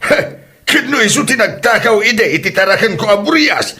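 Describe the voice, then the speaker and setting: Man acting a sharp, scolding Ilocano father. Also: high-pitched, animated, moderate pace. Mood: angry, accusing. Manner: scolding, interrogating, confrontational. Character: angry, suspicious father confronting his daughter's suitor. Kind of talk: comedy audio drama acting.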